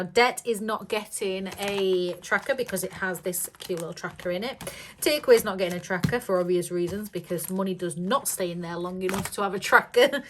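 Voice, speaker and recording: A woman chatting casually to camera about budgeting, rambling with lots of ums and little giggles, her voice a bit croaky. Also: mid-range voice, a bit bright, a little hiss or hum. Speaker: budgeting vlogger.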